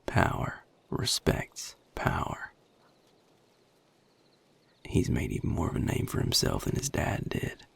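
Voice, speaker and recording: A man playing a weary, protective vampire sire in an intimate audio roleplay, with a Southern US accent, a husky, gravelly low voice and a folksy, guarded drawl. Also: slow, long pauses, in a whisper, close to an everyday voice. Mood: wary, firm. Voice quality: very deep, gravelly, husky and breathy. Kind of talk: audio roleplay drama, intimate one-sided dialogue.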